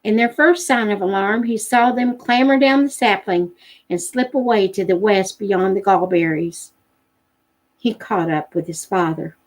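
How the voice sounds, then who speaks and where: A woman's voice: medium pitch, measured pace, rough recording. A woman narrating a storybook in a live read-aloud, as the host of a read-along.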